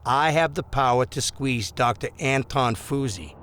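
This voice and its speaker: A man's voice: medium pitch, measured pace, a bit bright, clean tone, natural voice. A man playing a corrupt, bullying policeman in an audio drama, mock-friendly and insinuating as he leans on people. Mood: sly persuasion. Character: corrupt small-town policeman, smooth, bullying blackmailer.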